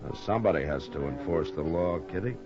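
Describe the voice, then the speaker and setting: A deep-voiced, steady American frontier marshal, speaks in terse, understated commands. The sound is that of a dull vintage radio western with music in it. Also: male voice, fairly quick. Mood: easy, low-key. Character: steady, tough frontier US marshal in Dodge City. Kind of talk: 1950s radio western acting, with first-person narration.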